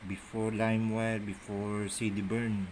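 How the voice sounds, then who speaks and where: Clear background noise surrounds a handheld phone recording of a middle-aged man with a Manila Tagalog accent. His voice sits fairly low. He talks off the cuff like a collector showing his things, rambling and sometimes muttering to himself. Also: level tone, unhurried, darkish tone. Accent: Filipino, Manila Tagalog. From Philippines. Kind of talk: casual show-and-tell chat, off the cuff. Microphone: phone held in hand while filming. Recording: noisy handheld phone camera audio.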